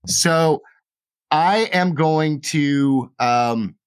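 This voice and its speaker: A man acting as game master, explaining game rules quickly and plainly. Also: on the high side, smooth, poor audio.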